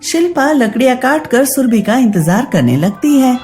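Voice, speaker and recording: A woman's voice: sits high, sing-song, normal speed, warm tone, faint music behind. A woman storyteller reading scripted narration for a children's story.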